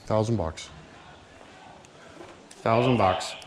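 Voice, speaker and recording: A Canadian man bantering casually and profanely in a joking sales-pitch way, as a vlog host. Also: extremely slow, slight background noise. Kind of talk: casual banter, walkie-talkie chatter.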